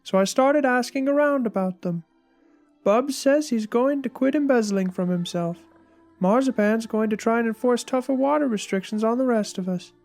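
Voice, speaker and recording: A woman's voice: on the high side, normal speed, darkish tone. A woman giving a dramatic reading of a personal journal. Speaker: reader in a dramatic reading of a fictional blog. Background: background music.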